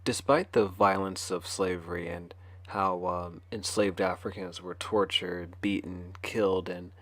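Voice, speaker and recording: Low, even-voiced man giving a halting talk. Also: dry recording. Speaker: conference speaker. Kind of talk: informal lecture.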